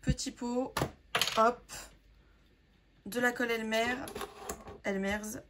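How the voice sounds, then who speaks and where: A young woman with a sore throat and a hoarse voice, chatting casually to viewers as she sorts her makeup, rambling with plenty of fillers. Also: fairly high pitch, steady tone, slow pace, very noisy. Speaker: makeup artist vlogger. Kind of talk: casual sorting vlog, talking to viewers.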